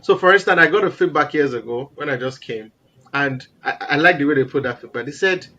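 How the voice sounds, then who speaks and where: A man from Nigeria with a naturally loud voice, talking as an interview guest in an animated, emphatic way. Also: fairly high pitch, a little fast, highs cut off. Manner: animated, emphatic, repeats words for stress. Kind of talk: casual interview conversation.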